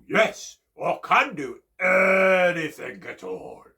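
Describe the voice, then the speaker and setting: A man doing a booming boastful bear. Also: sing-song, full character voice. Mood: proud. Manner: slow, loud, booming boasts. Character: boastful big male bear, proud and blustering.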